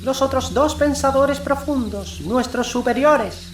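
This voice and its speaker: A woman's voice: high-pitched, measured pace. A woman voicing a giant owl messenger, solemn and deferential, with formal, ceremonious speech in an audiobook. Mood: solemn, explanatory. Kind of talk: audiobook character acting. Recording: roomy echo, noisy background.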